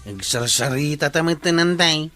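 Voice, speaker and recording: Brash, bright-voiced Ilocano bully watchman, acted by a man. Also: on the high side, normal speed, soft background music. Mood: defiant. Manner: brash, bossy. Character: swaggering bully, village watchman abusing his uncle's position. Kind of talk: Ilocano comedy drama dialogue.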